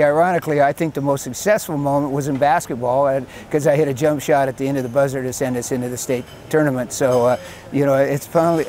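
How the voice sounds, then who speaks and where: Older American coach, faint background noise. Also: male voice, medium pitch, darkish tone. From Washington state, USA. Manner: anecdotal, self-deprecating. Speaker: American football head coach. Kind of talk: casual interview reminiscing.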